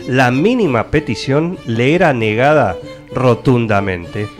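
Animated, mock-solemn Argentine male narrator. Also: mid-range voice, a little slow, faint music behind. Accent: Argentine Rioplatense. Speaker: narrator of a radio play. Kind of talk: dramatic scripted storytelling.

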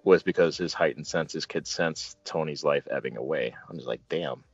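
Low-voiced man reviewing a film, dull audio. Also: husky, dry recording. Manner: halting, earnest fan talk with colloquial asides. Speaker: movie reviewer and show host. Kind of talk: casual film discussion, retelling scenes. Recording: dull lo-fi audio with faint music. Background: faint music.

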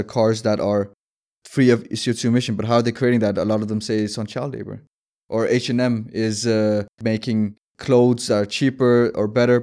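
Twenties guy from denmark, lowish voice, earnest, walks through his points one by one, music faint in back. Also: a little mellow. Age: 20s. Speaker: guest, startup founder. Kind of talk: explaining in an interview.

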